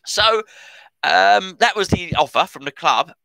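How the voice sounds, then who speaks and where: A middle-aged man hosts a casual football podcast, rambling and correcting himself as he goes. His voice is bright and cutting and sits a little above the usual pitch for a man. The recording is dry, with no room sound. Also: animated, measured pace, creaky, no room sound, rough recording. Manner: chatty, rambling, self-correcting. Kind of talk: casual commentary on transfer news.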